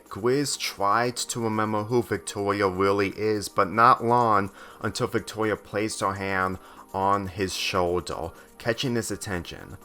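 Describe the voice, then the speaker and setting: A man's voice: fairly low pitch, sharp tone. A man reading a scripted story aloud, narrating and voicing the dialogue lines himself. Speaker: fan fiction reader.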